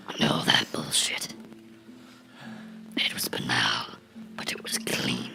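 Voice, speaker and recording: A man voicing a bitter, menacing cartoon character in a slow, brooding horror ASMR monologue, whispering in a breathy, gravelly voice. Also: extremely low pitch, heavily acted. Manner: slow, deliberate, brooding storytelling with dramatic pauses. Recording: whispered with music underneath.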